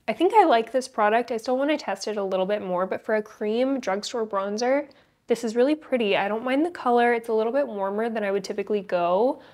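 Young female beauty creator, casual and candid, slightly stuffy nose, studio setup. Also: mid-range voice. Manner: upbeat, chatty and candid. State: congested from a cold. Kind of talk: casual makeup tutorial chat. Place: indoor studio, plain backdrop.

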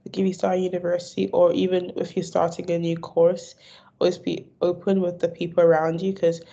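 A woman's voice: fairly low pitch, flat delivery, brisk, creaky, mellow. A young woman who stammers, repeating words and restarting, answering questions in a video call interview. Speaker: stammering awareness advocate. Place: indoor room. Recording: dull lo-fi video call audio.

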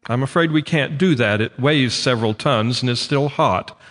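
An actor playing a polite university professor with a precise, formal, slightly flustered manner. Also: male voice, medium pitch, moderate pace, fairly bright tone, lightly acted. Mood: fussy. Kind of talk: radio drama acting.

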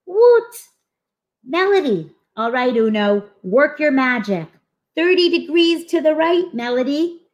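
Storybook schoolkids, voiced by a woman: eager, friendly, earnest, higher pitched, swinging intonation. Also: a little slow, lo-fi sound. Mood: excited. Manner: expressive, earnest. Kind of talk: animated storybook read-aloud.

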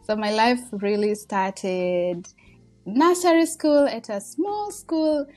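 A woman's voice: fairly high pitch, slow pace, soft background music. A woman in her thirties with a Kenyan accent, a podcast guest talking casually and humbly about her life, with many fillers. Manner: warm, humble, chatty with frequent fillers and laughs. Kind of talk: casual interview storytelling.

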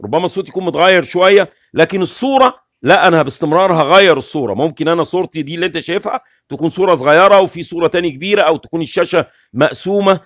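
A middle-aged man with an Egyptian Arabic accent speaks quickly and insistently, as if walking a listener through a plan during a home livestream. His voice sits a touch high for a man, and it comes across dry and close, with no room sound at all. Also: no room sound. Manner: animated, gestures constantly, explains insistently. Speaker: livestream host. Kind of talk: casual livestream talk. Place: small room with curtains, indoors.

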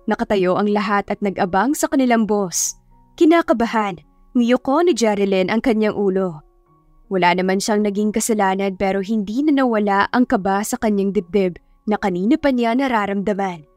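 A woman's voice: mid-range voice, a little mellow, no echo. A woman with a Filipino accent narrating a scripted story in a dramatic storytelling style. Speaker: audiobook narrator.

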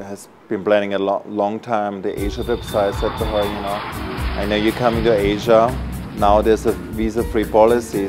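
A man in his thirties from Austria, talking casually in an interview as a hotel manager. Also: fairly low pitch. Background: music.

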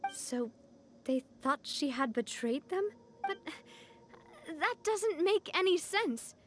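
A woman's voice: high voice, animated, takes it slowly, noisy background. A woman playing a young, composed and caring gang boss in a video game, speaking with earnest poise. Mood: indignant.

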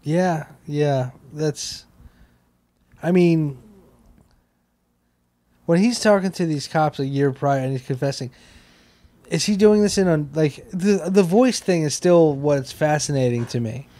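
A man's voice: fairly high pitch, a little slow, long pauses, dark tone, no room sound. An American man in his thirties bantering casually as a comedy podcast co-host, speaking into a handheld mic. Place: small wood-panelled podcast room, indoors. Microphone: handheld microphone held close.

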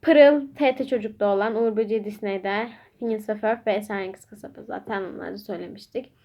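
A woman's voice: medium pitch, quick pace, warm tone. A woman chatting casually as she hosts a homemade quiz game and speaks to viewers. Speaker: vlog host quizzing a child.

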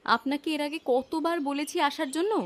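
A woman's voice: high-pitched, brisk, close to an everyday voice. A woman voicing a kind, dutiful sister-in-law in a cartoon moral story, speaking in a polite, attentive way. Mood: polite, hospitable.

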